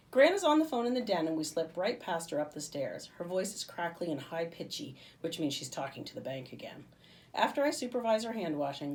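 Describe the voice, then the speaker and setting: A woman reading a children's story aloud as a teacher would, in an expressive narrating style. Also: deep voice, distant mic, a little hiss or hum.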